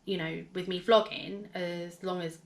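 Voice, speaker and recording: A woman with a hoarse, gravelly voice telling a personal story in a casual vlog, rambling with many fillers and restarts. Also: lowish voice, steady tone, measured pace. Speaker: vlogger.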